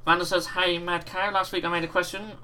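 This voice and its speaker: A British man with a croaky voice hosting a livestream, chatting casually and reading out viewer messages while painting miniatures. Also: high-pitched, brisk. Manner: relaxed, reads out chat messages. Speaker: livestream host.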